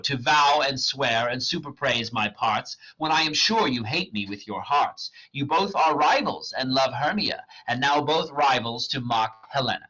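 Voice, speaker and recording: A man's voice: medium pitch, pushed and bright, voice pushed up. A man reading the part of a hurt, indignant young woman aloud. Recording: dull lo-fi recording.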